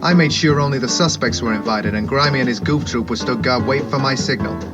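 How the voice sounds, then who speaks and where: An actor playing a cocky, slangy, wisecracking private eye who narrates a parody 1950s radio noir drama. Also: male voice, mid-range voice, fast talker, muffled recording, loud music behind the voice, lightly acted. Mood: knowing, storytelling. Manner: smug, slangy. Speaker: lead detective and narrator.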